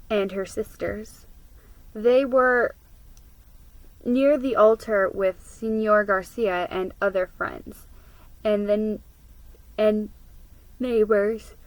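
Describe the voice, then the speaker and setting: A woman giving a deliberate dramatic reading of a story, with pauses. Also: mid-range voice, rough recording. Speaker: storybook reader. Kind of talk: scripted dramatic story narration.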